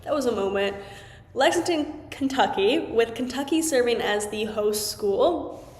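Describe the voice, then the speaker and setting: A woman's voice: fairly high pitch, lively intonation, a little slow, darkish tone. A young woman hosting a sports podcast, stuffy from a cold, chatting casually with lots of "like" and "um". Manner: chatty, frequent "like" and "um" fillers. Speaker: podcast host. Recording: echoey room with long reverb.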